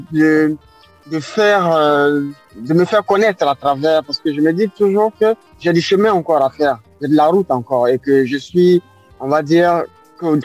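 An Ivorian-accented man in his forties talks in a slightly high voice. He answers hesitantly, filling pauses and repeating himself, while soft music plays faintly in the background. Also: dry recording. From Ivory Coast. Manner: hesitant, many fillers and repeated words. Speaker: guest artist. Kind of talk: radio interview answers.

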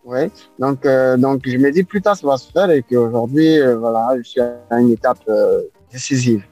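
A man's voice: mid-range voice, moderate pace, warm tone, dry recording, soft background music. A man in his forties with an Ivorian accent, answering interview questions hesitantly, with many fillers and repeated words. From Ivory Coast. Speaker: guest artist.

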